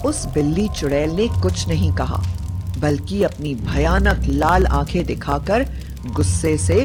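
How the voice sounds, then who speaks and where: Slow-paced woman narrating horror over music. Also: fairly low pitch. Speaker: storyteller. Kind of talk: scripted horror story narration. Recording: music bed behind the voice.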